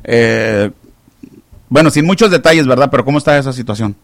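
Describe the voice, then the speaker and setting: Joking radio host, dry studio sound. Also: male voice, medium pitch, fairly quick, no room sound. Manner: joking, chatty, teasing callers. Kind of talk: casual call-in radio banter. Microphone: studio microphone.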